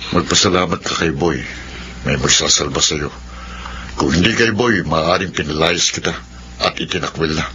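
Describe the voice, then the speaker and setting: A man playing a stern middle-aged father in a radio drama, questioning with halting authority. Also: very deep voice, bright, forceful tone, muffled recording, a little hiss or hum. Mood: stern, probing. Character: stern, conditional-loving father of pregnant daughter.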